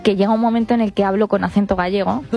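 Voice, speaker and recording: A woman's voice: medium pitch, steady tone, racing, creaky, dark tone, soft background music. A woman from Madrid with a Madrid accent, hosting a studio talk show, reading out survey figures and adding joking asides. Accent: Madrid Spanish.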